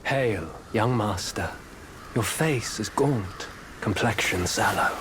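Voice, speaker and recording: An actor voicing a scheming male Taoist disciple in a video game, soft-spoken and sly, with whispery asides. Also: lowish voice, animated, slow pace, noisy background. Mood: solemn. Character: scheming male Taoist disciple, secretly monstrous, courteous then hungry. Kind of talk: video game cutscene acting. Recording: game audio over ambience.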